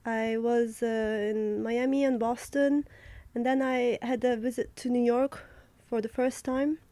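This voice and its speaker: A young woman from Turkey answers questions about her art, pausing often and restarting her thoughts. Her voice sits a little high and sounds mellow and soft. The recording is dry and close. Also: steady tone, no room sound. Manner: thoughtful, halting, many fillers and restarts. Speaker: artist. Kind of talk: interview answers.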